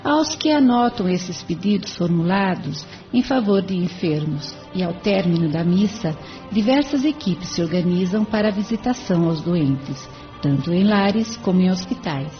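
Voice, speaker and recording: A woman's voice: on the low side, expressive, normal speed, lightly acted. A woman playing a devoted spirit helper in an audio novela, explaining in a formal, kindly, didactic way. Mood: devoted, instructive. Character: serene, devoted female spirit helper explaining her charity. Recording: dull sound with faint music.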